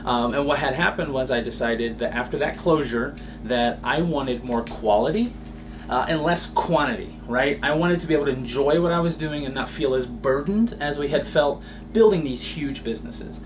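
Guest man in his thirties, mid-pitched, storytelling, noisy backdrop. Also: normal speed, far from the mic. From southern Indiana. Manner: storytelling, chatty, laughs easily. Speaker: full-time RVer, guest. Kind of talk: casual interview chat. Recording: noisy background ambience.

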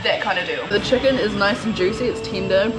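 A woman's voice: medium pitch, fairly quick. A young woman in her twenties with a New Zealand accent, describing the food in a playful, detailed way during a casual review. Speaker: food vlogger. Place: diner booth, indoors.

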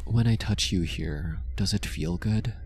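A man playing a possessive, lovestruck mafia boss in an intimate ASMR roleplay, speaking softly and coaxingly, obsessive yet tender. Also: low-pitched, background noise. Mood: seductive, possessive. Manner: slow, soft, coaxing, close and intimate.

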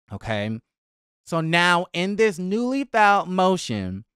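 A young man talks as a casual gossip commentator with a smooth, clear voice at a medium pitch. His delivery is sassy and emphatic, full of conversational slang. He sits close to a podcast mic, with a dry, tight room sound. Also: fairly bright tone, no room sound. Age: young adult. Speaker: host of a celebrity gossip commentary channel. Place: small indoor room at home. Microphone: close boom-arm podcast microphone.

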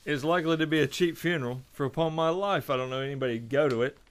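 A man's voice: on the high side, very fast, natural voice. An actor playing a callous, jovial businessman in an old-time radio drama, glib and amused. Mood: callous amusement. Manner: glib, chuckling. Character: callous jovial businessman gossiping about a death.

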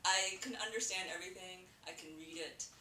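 Brief: middle-aged woman guest, Korean native, hesitant and filler-heavy, low voice, heard from a distance in a noisy echoey room. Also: brisk. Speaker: podcast guest. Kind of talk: casual interview. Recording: roomy, distant mic with noise.

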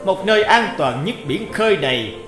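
A man voicing a kindly, protective grandfatherly fish in a cartoon fairy tale, slow and soothing. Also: high voice, very animated, reverberant, over music. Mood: calm, reassuring.

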